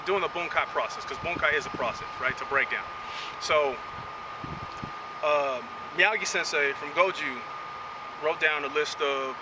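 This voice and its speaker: Guy teaching karate outside, high-ish voice, keeps saying right?, mic kinda far, loud wind n trucks. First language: English. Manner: chatty, keeps adding "right?". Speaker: karate instructor. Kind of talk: outdoor martial arts lesson. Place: outdoors in wind and passing traffic. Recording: windy outdoor audio, distant mic. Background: wind and passing vehicles.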